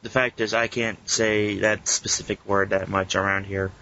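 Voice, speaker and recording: Low-pitched man on a lo-fi podcast. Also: level tone, normal speed, sharp tone. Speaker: podcast co-host. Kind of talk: casual group podcast chat. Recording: muffled lo-fi internet podcast audio.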